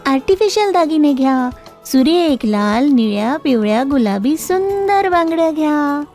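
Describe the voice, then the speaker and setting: A woman voicing a kindly goddess disguised as a young bangle seller, reassuring and firm when warning, in an animated moral story. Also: sits high, unhurried, faint music behind, pitched above the performer's own voice. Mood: cheerful.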